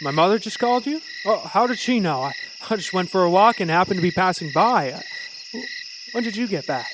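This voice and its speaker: An actor playing an earnest young small-town man with a halting, folksy, stammering delivery in a radio play. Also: sits high, expressive, background noise. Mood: bashful, evasive. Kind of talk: live radio play acting in an old-fashioned manner.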